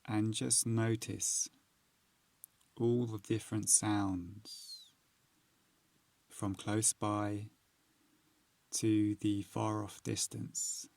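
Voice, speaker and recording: A man narrating a guided meditation, giving soothing, measured instructions with long pauses. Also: lowish voice, flat delivery, vocal fry, darkish tone, no echo.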